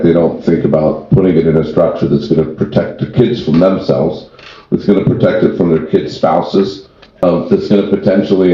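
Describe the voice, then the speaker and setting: A man speaking off the cuff as an expert panelist, explaining at length and often restarting his phrases. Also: low-pitched. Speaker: tax and wealth planning founder, panelist. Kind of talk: extemporaneous panel discussion. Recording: very echoey room, dull sound.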